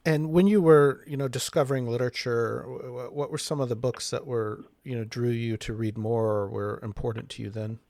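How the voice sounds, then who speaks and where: A man co-hosting a book podcast, asking measured, searching questions. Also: medium pitch, steady tone, a little mellow, no room sound. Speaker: podcast co-host. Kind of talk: interview questions.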